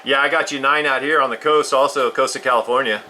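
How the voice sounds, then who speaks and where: A man's voice: medium pitch, steady tone, fast talker. Casual male radio hobbyist with background noise. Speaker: radio hobbyist recording the contact.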